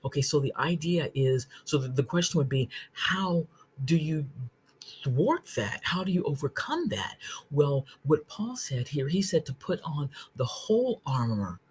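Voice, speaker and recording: A woman's voice: sits low, very animated, darkish tone, a little hiss or hum. A woman giving an informal Bible study talk, earnestly explaining verses, often checking in with "okay?" and restarting her sentences. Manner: restarts phrases and reads scripture aloud slowly. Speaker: online Bible teacher.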